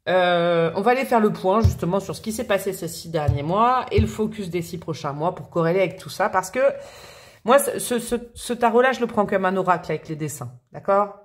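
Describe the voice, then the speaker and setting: A chatty woman talks straight to her viewers during a tarot reading. Her delivery is lively and expressive, with playful stresses and the odd laugh. Her pitch sits mid-range. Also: a little fast. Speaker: tarot reader. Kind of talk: casual tarot reading to camera.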